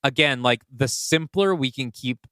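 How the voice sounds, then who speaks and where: A man with a clear, smooth voice at a middle pitch talks casually as a podcast co-host. He thinks aloud in a conversational way, and the recording is close and dry. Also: unhurried, sharp tone, no echo. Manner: thinks aloud, often says "like". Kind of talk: casual brainstorming chat.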